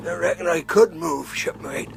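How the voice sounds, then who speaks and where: An actor playing a sly, wounded pirate seaman in a radio drama, rough and gravelly, with insinuating sailor talk. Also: a little high, moderate pace, background noise. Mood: weak, wary.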